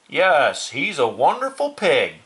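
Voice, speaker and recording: A narrator as a hearty, bright-voiced farmer. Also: medium pitch, wildly swooping pitch, slow, pitched above the performer's own voice, heavily acted. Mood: admiring, frank. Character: hearty, matter-of-fact farmer father.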